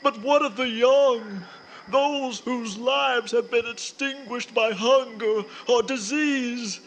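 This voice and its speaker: A man's voice: very high voice, slow, fairly bright tone, a little hiss or hum, heavily acted, low-quality recording. A man playing a weary, sorrowful Grim Reaper in a radio drama, speaking in mournful, archaic, theatrical phrases. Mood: gloomy, weary. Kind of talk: old-time radio drama acting.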